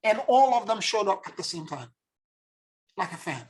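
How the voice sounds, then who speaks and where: A man's voice: high voice, very animated, normal speed, husky. Man, from Haiti, spiritual teacher, earnest, introspective, unscripted monologue. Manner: earnest and introspective, searching for words. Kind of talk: reflective spoken monologue.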